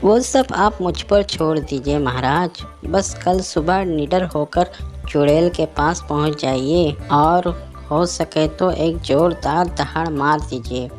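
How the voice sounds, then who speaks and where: A woman voicing a sly male fox, a wheedling, knowing adviser to a king, in an animated moral story. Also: sits low, slow, soft background music. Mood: reassuring.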